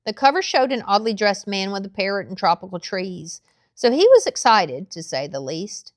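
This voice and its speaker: A woman narrating a children's novel aloud in a measured, expressive storytelling style. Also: mid-range voice, no room sound, clean studio sound.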